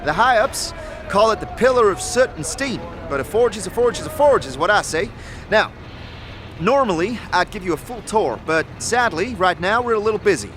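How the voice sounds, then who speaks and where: A man voicing a brisk, no-nonsense, muscular horned forewoman in a fantasy audio drama. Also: high-pitched, sing-song, a bit bright, soft background music, voice pushed up. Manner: bossy, no-nonsense, briskly instructing.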